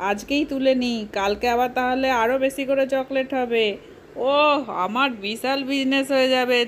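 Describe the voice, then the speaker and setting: A woman voicing a scheming, money-hungry villager doll in a children's story, boastful and excited by big plans. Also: high voice, a little hiss or hum, natural voice.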